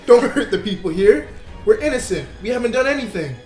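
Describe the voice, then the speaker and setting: A man's voice: very high voice, animated, fairly quick, a little mellow. A man playing a frightened, innocent villager begging for mercy in a script read. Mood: terrified pleading. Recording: echoey room, music behind.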